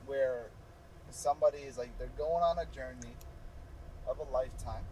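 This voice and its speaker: A man's voice: medium pitch, normal speed, dark tone, low-quality recording. A man chatting informally and thinking aloud as co-host of a vlog, with a jokey manner, inside a car. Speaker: co-host of a ride-along video. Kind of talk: casual chat, thinking aloud. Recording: car and outdoor noise. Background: car and road noise.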